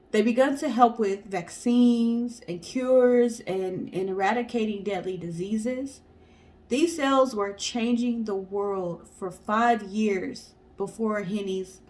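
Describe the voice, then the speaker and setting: A woman's voice: on the high side, darkish tone. A woman co-hosting a storytelling show, reading a story in a casual way and addressing the audience.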